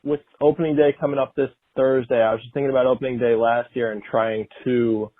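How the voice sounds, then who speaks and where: Muffled voicemail from an even-toned man. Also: mid-range voice, fairly quick, dry recording. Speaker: voicemail caller. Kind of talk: casual voicemail message. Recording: muffled lo-fi voicemail phone recording.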